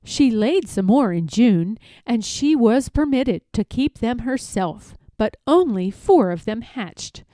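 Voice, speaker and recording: A woman narrating a children's story aloud as an expressive storyteller, reading from scripted text. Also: a little high, slow pace, few pauses, dry recording.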